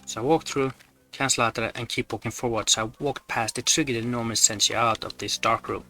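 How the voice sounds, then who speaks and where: Bright-voiced man explaining game glitches. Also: medium pitch, steady tone, a little fast, soft background music. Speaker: gamer presenting glitches. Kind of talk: explanatory gameplay commentary.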